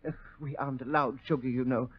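A man's voice: medium pitch, steady tone, quick pace, husky, close to an everyday voice. An actor playing a meek, flustered, fussy man who dithers and hesitates, in 1940s radio drama style. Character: meek, fussy, flustered brother of poisoning victim. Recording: muffled old-time radio recording.

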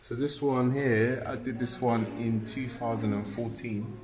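A man in his thirties from Sweden, a painter talking casually and reflectively about his work, with halting restarts. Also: mid-range voice, fairly even intonation. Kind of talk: casual interview chat. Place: indoor art gallery with pillars. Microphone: camera mic at close range. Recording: echoey gallery with loud music behind.

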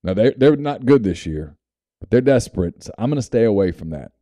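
A man in his thirties co-hosting a sports podcast, measured with dry humor, wearing headphones at a studio mic. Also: lowish voice, expressive, dark tone, dry recording. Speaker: podcast co-host. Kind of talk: casual sports betting chat. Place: small studio room.

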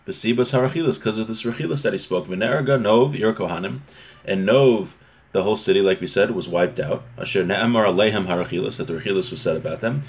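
A man, around his thirties or forties, giving an informal religious lesson, reading and explaining, often rephrasing his points. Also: on the low side. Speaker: religious teacher. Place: small home room, indoors.

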